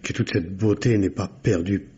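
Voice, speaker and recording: A male actor playing a flamboyant, high-strung private secretary, effusive and stammering, in a French radio mystery play. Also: steady tone, moderate pace, mellow, breathy edge. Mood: wistful, obsequious. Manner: effusive, dramatic, indignant outbursts, stammering. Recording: dull vintage radio recording.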